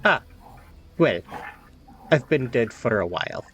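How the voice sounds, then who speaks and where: Casting: male game master as regal ancient female ghost, possessing a body, measured archaic speech, very animated intonation, tabletop campaign. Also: mid-range voice, heavy background noise. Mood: solemn, weary. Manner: measured, archaic, formal phrasing. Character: ancient dead woman's spirit Morgan, cryptic, regal, possessing a body.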